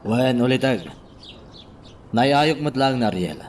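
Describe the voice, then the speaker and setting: A man's voice: medium pitch, expressive, slow pace, a little mellow. An actor playing a scheming nephew who gives orders to his uncle in a radio drama. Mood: conspiratorial. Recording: distant from mic, faint noise.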